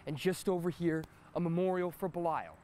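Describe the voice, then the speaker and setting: A man's voice: on the high side, brisk, darkish tone, slight background noise. A young American man delivering broadcast news as a student TV reporter, in a formal announcer-like manner. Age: young adult.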